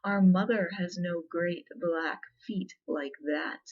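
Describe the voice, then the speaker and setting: A woman voicing young, earnest storybook goslings in a soft, cautious ASMR reading. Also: fairly low pitch, slow pace, muffled recording. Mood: wary. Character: little young goslings, obedient, wary storybook creatures.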